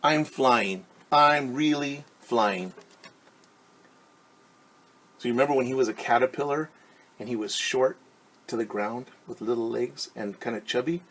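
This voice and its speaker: A man teaching young children through a story, reading aloud and explaining gently and patiently with questions. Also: medium pitch, brisk, slightly rough.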